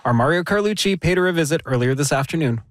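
A man hosting a radio show, reading a scripted introduction in a smooth, steady manner. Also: a little high, fast talker. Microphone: studio microphone.

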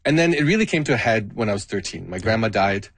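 A man in his thirties from Canada talks openly in a studio interview, earnest and reflective, pausing and restarting as he finds his words. His voice sits low, and the recording is close and dry with no room sound. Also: sing-song, husky, no room sound. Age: 30s. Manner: earnest, open, searching for words. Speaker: guest performer. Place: radio studio. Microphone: studio mic.

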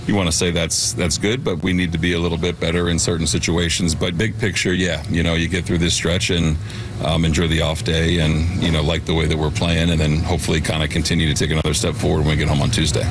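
A man managing a baseball team, speaking in a measured way with many fillers. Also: low voice, level tone. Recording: press clip with clear background noise.

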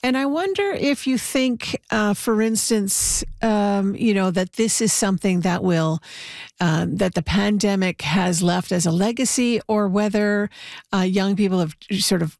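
A woman's voice: medium pitch, darkish tone, no room sound. Thoughtful woman radio host, dry. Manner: measured, thoughtful, many uhs. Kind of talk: interviewing.

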